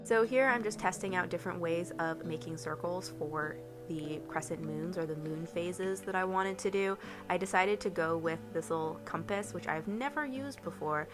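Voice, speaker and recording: A young woman with a slight cold talks through a relaxed home voiceover, rambling and full of small fillers. Her voice sits a little low for a woman. Clear background music plays beneath her the whole time. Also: moderate pace. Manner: chatty, rambling, lots of ums and likes. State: has a cold. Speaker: bullet journal vlogger. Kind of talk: casual voiceover narration. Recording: soft background music under voice.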